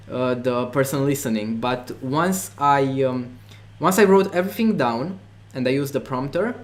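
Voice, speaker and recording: A man with a Romanian accent, a non-native English speaker, being interviewed about making online courses, talking with many fillers and restarts. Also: medium pitch. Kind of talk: interview conversation.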